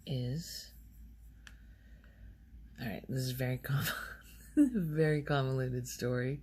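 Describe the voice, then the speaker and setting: A soft-spoken woman rambles slowly in ASMR style, often drifting toward a whisper. Her voice has a gravelly fry, and she pauses and muses as if thinking aloud. There is faint hiss behind her. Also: rumbling low. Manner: hesitant, musing, thinking aloud. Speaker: ASMR video creator.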